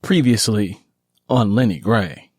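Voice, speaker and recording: A man reading scripted audio drama narration as a storyteller, in a measured, literary manner. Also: lowish voice, breathy edge.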